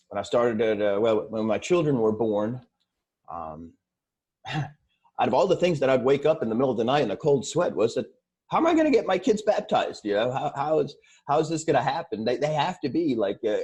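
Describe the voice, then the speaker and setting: A man in his forties, born in Sweden, speaking as an interview guest in a casual, halting way with many fillers and restarts. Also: medium pitch, a little mellow, breathy edge. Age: 40s.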